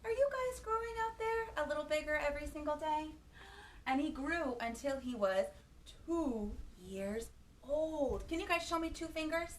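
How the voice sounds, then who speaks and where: A woman with a high voice reads a picture book to young children as a storytime host. She is expressive and animated, with gasps and questions for the little ones. The room is echoey, with faint noise behind her. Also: moderate pace.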